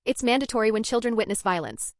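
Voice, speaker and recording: A woman reading the lines of a calm, firm female police officer who speaks steadily and gently. The delivery stays close to the reader's own voice. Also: a little high, fast talker, no room sound. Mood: steady.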